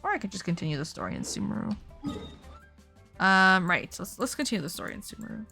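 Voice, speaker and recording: A woman with a creaky voice chats casually as she streams a game. She mutters her thoughts aloud, blunt and a little sweary, with soft game music behind her. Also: medium pitch, very animated, fast talker. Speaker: livestream gamer host. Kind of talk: casual gameplay commentary, thinking aloud.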